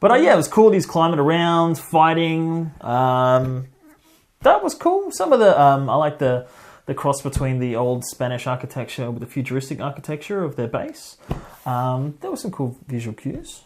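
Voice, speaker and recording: A man's voice: a little high, big pitch swings, moderate pace. A man co-hosting a podcast, giving his opinions in an informal, rambling chat. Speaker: podcast co-host reviewing a film. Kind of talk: casual chat.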